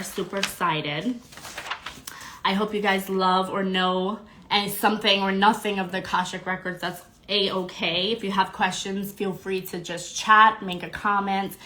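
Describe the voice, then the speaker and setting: A woman's voice: medium pitch, sharp tone. Young woman with a stuffy nose chatting to her live viewers, bubbly, lots of ums. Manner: bubbly, greets viewers by name, fillers. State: congested, slightly nasal. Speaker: podcast host. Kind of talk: casual live-stream chat.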